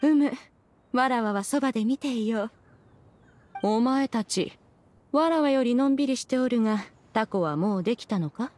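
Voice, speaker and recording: A woman acts out a wise immortal auntie in an anime game, dignified and self-assured. She speaks in archaic regal phrases, stately and measured, in a slightly high voice. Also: darkish tone, natural voice. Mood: composed. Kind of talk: Japanese anime game acting.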